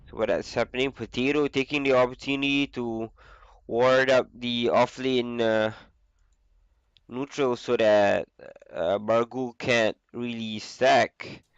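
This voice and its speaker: A young man with the flu, casually commentating a video game on his own, with a lot of filler pauses. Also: mid-range voice, fairly even intonation, clean tone, no echo, lo-fi sound. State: sick with flu. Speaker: esports caster.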